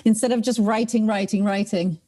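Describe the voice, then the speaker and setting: A woman's voice: mid-range voice, fairly even intonation, a little fast, mellow. A woman from the United States whose first language is Ukrainian, talking as a guest author over a video call in a chatty way, with many ums and restarts. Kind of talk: casual interview storytelling.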